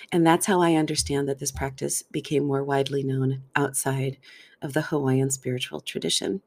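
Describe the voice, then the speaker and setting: A woman's voice: low voice, darkish tone. A woman hosting her own wellness podcast as a therapist and coach, talking alone in an affirming way with playful asides, seated in a comfy chair indoors. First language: English. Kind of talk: solo podcast monologue.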